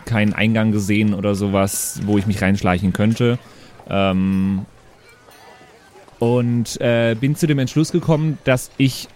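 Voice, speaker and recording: A man playing a tabletop role-playing game, improvising casually in a halting way with repeated words. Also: fairly low pitch, a little mellow. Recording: clearly audible background noise.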